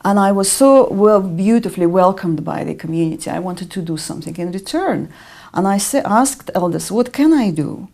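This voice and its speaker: A middle-aged woman from Russia with a Russian accent, answering interview questions in a measured way with frequent ums. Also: mid-range voice, animated, vocal fry, mellow. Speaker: interview guest, artist and educator.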